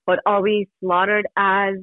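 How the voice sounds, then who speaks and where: A woman's voice: mid-range voice, flat delivery, a little slow, no room sound. Over a narrow, dry telephone line, a woman from Iran gives an earnest interview. She speaks with emphasis, sometimes posing questions and answering them herself. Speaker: interview guest, human rights advocate. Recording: thin telephone-like radio line.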